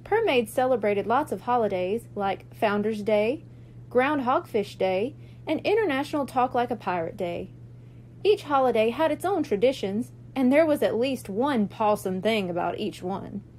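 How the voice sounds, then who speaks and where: A woman reading a children's book aloud as a library storyteller, expressive and clearly phrased. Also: fairly high pitch, normal speed, slight background noise.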